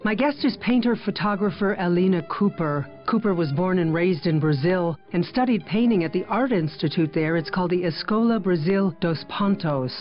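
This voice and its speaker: A woman's voice: on the low side, normal speed, few pauses, soft background music. A woman hosting an interview show, asking friendly questions and often repeating words as she starts them. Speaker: radio show host. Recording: dull lo-fi sound.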